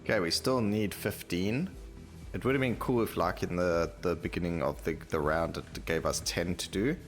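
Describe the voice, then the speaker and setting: A man's voice: sits low, normal speed. A man hosting a gaming video, giving casual, conversational commentary and thinking aloud as he plays. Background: game music.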